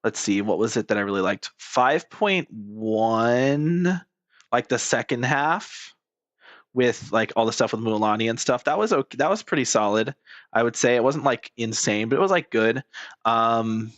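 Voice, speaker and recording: A man's voice: mid-range voice, lively intonation, measured pace, fairly bright tone, no echo, muffled recording. A man doing casual, rambling game commentary as a streamer, blunt and informal with plenty of swearing.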